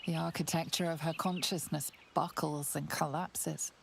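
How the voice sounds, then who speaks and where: Ominous female game narrator, very deep, heavy vocal fry, literary dramatic delivery, ambient noise. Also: steady tone, normal speed. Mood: ominous, hushed.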